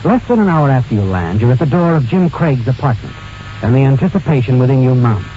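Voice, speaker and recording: Prominent music sits behind a muffled old radio recording of a male narrator. He tells a suspense story in an ominous, dramatic scripted style, at an average male pitch. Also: big pitch swings, fairly quick. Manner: ominous, addresses the character as "you".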